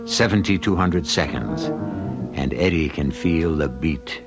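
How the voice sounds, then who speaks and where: A man performing as a grave, wry storyteller of a radio mystery, narrating with measured, dramatic pauses. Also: a bass voice, exaggerated voice. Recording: muffled vintage radio recording, music behind.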